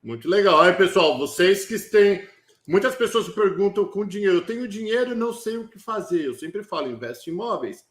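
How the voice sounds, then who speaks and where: Host, man with a high voice, eager and chatty, echoey room. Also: expressive. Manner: enthusiastic, quick interjections. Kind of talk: casual interview, prompting guests.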